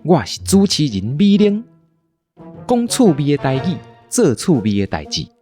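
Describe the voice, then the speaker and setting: A woman's voice: sits low, wildly swooping pitch, a little slow, dark tone, soft background music. A woman with a Taiwanese accent hosting and narrating a playful scripted story. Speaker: podcast host and storyteller.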